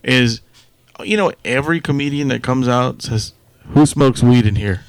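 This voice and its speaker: A man's voice: mid-range voice, normal speed, clear, resonant tone, no room sound. A man bantering casually on a podcast with short joking interjections and mocking delivery.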